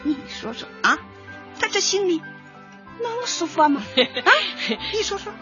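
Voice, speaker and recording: High, slow, theatrical old granny. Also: female voice, very animated, a bit bright, exaggerated voice. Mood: theatrical, lamenting. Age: older. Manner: drawn-out, theatrical sighing and interjections. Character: chatty, knowing old granny neighbour, playful amateur analyst. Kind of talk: light comedy radio drama acting. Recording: dull lo-fi audio, faint music.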